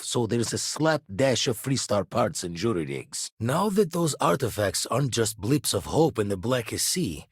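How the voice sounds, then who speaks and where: A man voicing a weathered, shrewd ex-pirate spacefarer in a video game, guarded but loyal, with a husky voice and theatrical, slangy turns of phrase. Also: lowish voice, no room sound, studio quality, exaggerated voice. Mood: measured, guarded. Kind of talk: scripted game character dialogue.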